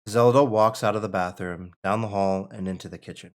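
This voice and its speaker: A man's voice: fairly low pitch, fairly even intonation, brisk. A man reading out the stage directions and narration at a screenplay table read.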